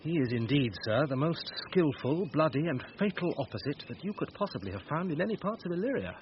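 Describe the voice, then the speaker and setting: An actor playing a sly, mischievous servant with mock-grave delivery in a Shakespearean radio comedy. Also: male voice, on the high side, big pitch swings, brisk. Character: sly mischievous servant feeding fears with solemn tales. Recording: dull noisy radio recording.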